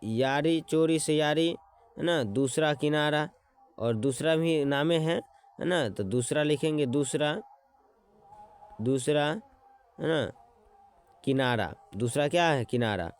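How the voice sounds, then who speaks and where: A man teaching an exam-prep lesson, repeating words slowly as he writes them out and checking in with his students as he goes. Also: on the high side, clean tone. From Bihar.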